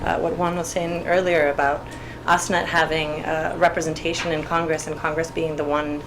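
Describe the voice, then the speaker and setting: A young woman from Puerto Rico, an artist speaking on a panel, talking haltingly with many restarts and fillers. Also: deep voice, flat delivery. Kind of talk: spoken panel talk. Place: event room. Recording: faint background noise.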